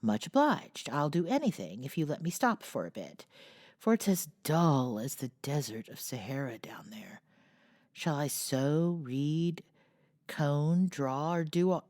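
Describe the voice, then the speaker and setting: A woman's voice: low voice, big pitch swings, unhurried, a little mellow, dry recording, studio quality, exaggerated voice. A woman reading as a polite eager teenage boy. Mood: playful. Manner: courteous, playful, a little meek.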